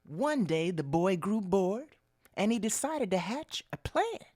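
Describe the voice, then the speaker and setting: A woman narrating rhyming fables for a radio drama in a theatrical, expressive, emphatic style. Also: medium pitch, a little slow. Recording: loud music behind narration.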